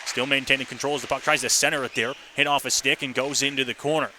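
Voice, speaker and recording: A man's voice: mid-range voice, fairly even intonation, fast talker. A man calling a live hockey game on the radio as the play-by-play announcer. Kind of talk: live radio sports commentary. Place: ice hockey arena. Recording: live rink broadcast with faint noise.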